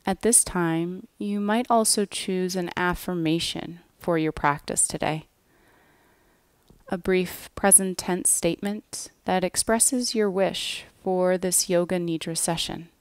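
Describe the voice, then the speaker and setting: A woman guiding a yoga nidra relaxation in a gentle, measured way, with soft phrase endings. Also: fairly low pitch, steady tone, clean tone, no room sound. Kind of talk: guided relaxation meditation, scripted.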